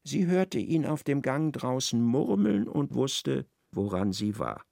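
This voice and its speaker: A man narrating a literary radio play with measured, expressive storytelling. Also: mid-range voice, vocal fry, no room sound, clean studio sound.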